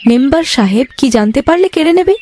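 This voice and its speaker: A woman voicing an eager, excitable poor village wife in a cartoon, with a wheedling manner. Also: high voice, fast talker, slight background noise. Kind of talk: cartoon voice acting.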